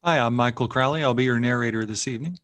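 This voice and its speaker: A man narrating a screenplay, reading scene directions in a measured, scripted way. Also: medium pitch, a bit bright, no echo.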